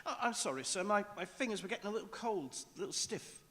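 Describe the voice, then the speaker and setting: Man acting a high, quick, meek clerk. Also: animated, bright, forceful tone. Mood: nervous, apologetic. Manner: hesitant, servile, stammering nervously. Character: meek, timid, deferential Victorian male clerk. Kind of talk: stage radio drama acting. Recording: echoey theatre hall, faint noise.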